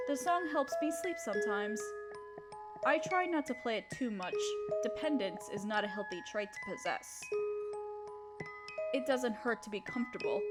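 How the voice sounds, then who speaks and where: A woman playing a kind, proper young noble mistress in an intimate audio roleplay, speaking in formal, gently hesitant phrases to her listener. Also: a little high. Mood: shy, confiding.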